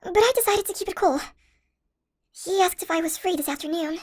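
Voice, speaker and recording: An anxious, tiny college girl, played by a woman for an audio roleplay. Her voice sits extremely high and young. She talks in a chatty, expressive way, hesitating as she goes. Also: brisk. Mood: uneasy recounting. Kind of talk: first-person audio roleplay, conversational acting.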